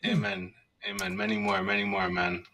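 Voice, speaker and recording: A man's voice: fairly low pitch, level tone, moderate pace, background noise. A man in his forties from Canada, a guest in casual chat, joking, affectionate and loose. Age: 40s. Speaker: guest on a live stream.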